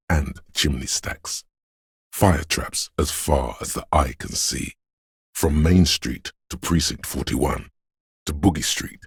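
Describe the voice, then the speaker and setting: An actor voicing a brooding, mystical inner voice in a video game, narrating in a hushed, solemn, measured and poetic way. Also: male voice, no echo, clean studio sound, heavily acted. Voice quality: very deep, gravelly, husky. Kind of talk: slow atmospheric scripted game narration.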